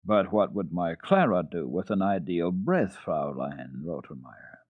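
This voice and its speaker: A man playing a genial, wry gentleman father with a brisk, courteous manner in a children's storybook reading. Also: deep voice, no room sound, narrow, tinny audio. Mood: wry, puzzled.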